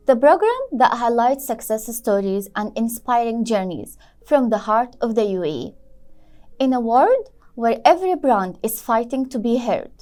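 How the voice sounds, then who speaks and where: A woman's voice: on the high side, darkish tone. A woman hosting an interview show, giving a formal welcome and asking questions. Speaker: show host.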